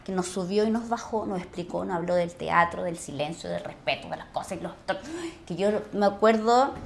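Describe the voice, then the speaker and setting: A middle-aged woman with a Chilean accent tells personal stories conversationally, expressively and with searching pauses. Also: on the low side, gravelly, a little hiss or hum. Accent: Chilean Spanish. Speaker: actress, interview guest.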